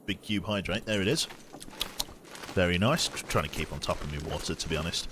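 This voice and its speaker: Low-voiced man narrating his gameplay. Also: fairly quick, background noise. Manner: chatty, muttering his actions aloud as he plays. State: slightly sniffly, congested. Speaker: streamer. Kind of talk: casual gameplay commentary.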